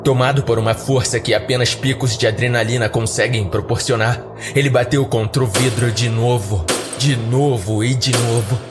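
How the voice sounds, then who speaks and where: A man's voice: mid-range voice, fairly even intonation, moderate pace. A man narrating a scripted first-person horror story for a podcast. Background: faint music.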